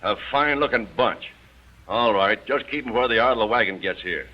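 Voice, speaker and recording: An actor playing a tough, sardonic police captain, brusque and commanding, in an old-time radio crime drama. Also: male voice, on the low side, brisk, lightly acted. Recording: muffled vintage radio recording.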